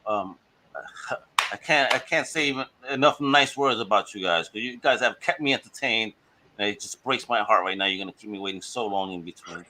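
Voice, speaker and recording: Bright-voiced, excited male podcast host. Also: fairly low pitch, normal speed, low-quality recording. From Brooklyn, New York. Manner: enthusiastic, hypes guests up. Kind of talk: casual chat.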